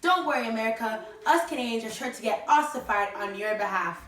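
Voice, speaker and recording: A woman's voice: fairly high pitch, expressive, brisk, natural voice. A young woman acting as a chirpy, theatrical 1920s radio show host who uses old-time slang. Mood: excited. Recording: roomy, distant mic, faint noise.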